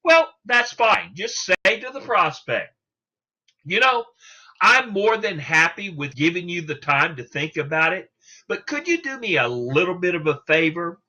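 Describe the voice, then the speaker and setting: A man's voice: high voice, very animated, unhurried, sharp tone. A man giving an instructional talk as a sales coach, emphatic and salesman-like, putting rhetorical questions to the listener. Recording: dull, lo-fi sound.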